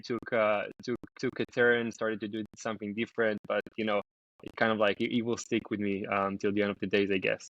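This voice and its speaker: A man's voice: on the low side, little pitch movement, a little fast, fairly bright tone. A young man from Israel, a startup founder talking loosely as a podcast guest, with lots of ums and restarts. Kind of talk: casual podcast interview. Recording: dry, slightly dull remote audio.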